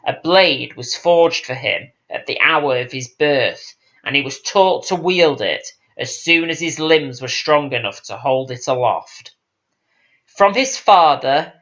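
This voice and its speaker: A man reading a fantasy audiobook as scripted dramatic narration, in a solemn, measured storytelling manner. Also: medium pitch, pushed and bright, muffled recording. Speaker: audiobook reader.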